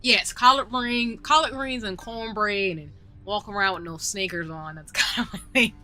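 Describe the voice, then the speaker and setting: A woman's voice: mid-range voice, normal speed, sharp tone. A young woman with a Southern US accent chatting casually on a live stream while gaming, giggly and rambling with lots of fillers. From southern United States. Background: faint background music.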